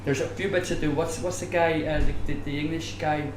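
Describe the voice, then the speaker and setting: A man's voice: a little high, fairly even intonation, a little fast. An older man with a Northern Irish accent talking conversationally on a panel, explaining through anecdotes and digressions. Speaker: panelist, academic. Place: bar venue, live audience discussion. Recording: echoey room, background noise, live event.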